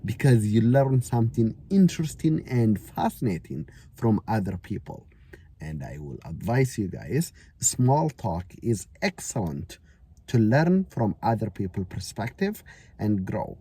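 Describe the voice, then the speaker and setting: A man teaching to learners, repeating each example phrase slowly, with grammar. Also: lowish voice, very animated, dark tone, breathy edge. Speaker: English teacher. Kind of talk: casual spoken English lesson. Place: Canada.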